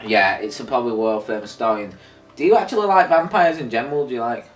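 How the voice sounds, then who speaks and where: A man's voice: mid-range voice, fast. A man hosting an unscripted, casual review chat as the presenter of a video. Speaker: video host. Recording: roomy echo, distant mic, music.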